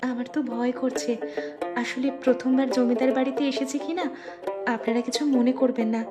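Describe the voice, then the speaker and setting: A woman voicing a shy, anxious, affectionate young village bride in an animated moral story. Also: sits high, brisk, over loud music, close to an everyday voice.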